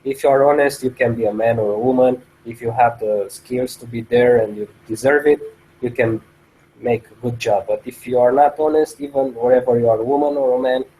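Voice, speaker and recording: A man speaks in a casual debate. His voice is medium in pitch and very dark and relaxed, with faint noise in the recording. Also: a little fast, low-quality recording. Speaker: discussion participant. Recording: slight background noise.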